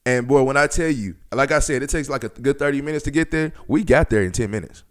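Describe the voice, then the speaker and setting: Young guy podcast host, smooth clear voice, loose slangy storytelling, swears, quotes people as he goes. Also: medium pitch, quick pace. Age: 20s. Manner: slangy, profane, animated retelling with quoted dialogue. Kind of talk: casual storytelling podcast talk.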